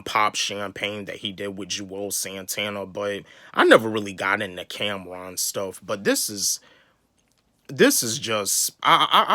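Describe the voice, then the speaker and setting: A man's voice: lowish voice, sharp tone, dry recording. A young man from the US South giving a casual, rambling opinion on a rap feud, often repeating words as he talks. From North Carolina, US South. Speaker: YouTube hip-hop commentator.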